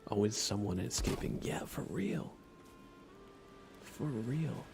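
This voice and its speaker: A man giving hushed, soft-spoken ASMR gaming commentary as a live streamer, often dropping into a whisper. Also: lowish voice, lively intonation, slow pace, gravelly. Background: music.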